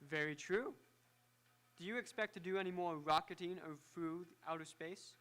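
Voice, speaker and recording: A man playing a proud, excitable inventor doctor in old-time radio drama style, with fussy, precise technical diction. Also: high-pitched, moderate pace. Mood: flustered, pleased. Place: live on an auditorium stage.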